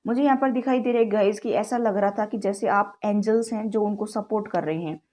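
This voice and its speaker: Woman, tarot reader, chatty direct-to-camera style, warm tone, sore throat. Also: mid-range voice, a little fast. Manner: chatty, keeps addressing viewers as "guys"; mixes English words into Hindi.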